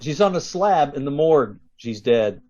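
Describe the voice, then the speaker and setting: A man's voice: fairly high pitch, expressive, normal speed, a little mellow. A man playing a matter-of-fact, laconic police officer in 1940s radio detective drama style. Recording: dull, lo-fi video-call audio.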